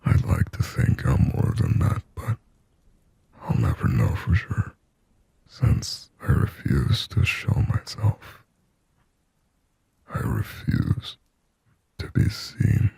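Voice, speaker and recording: Man whispering as a very deep, breathy demon. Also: sing-song, warm tone, no room sound, exaggerated voice. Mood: brooding, uncertain. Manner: slow, brooding, pleading, poetic. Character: lonely, yearning sleep paralysis demon haunting the listener. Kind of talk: whispered ASMR roleplay monologue. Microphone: close-up ASMR microphone.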